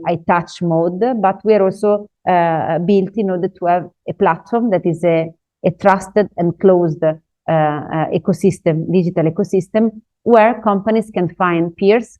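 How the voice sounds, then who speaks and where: A woman presents a business webinar in halting, non-native English, filling her frequent pauses with "uh." Her voice sits a little low and sounds mellow. The audio is dull and lo-fi, like a typical online call.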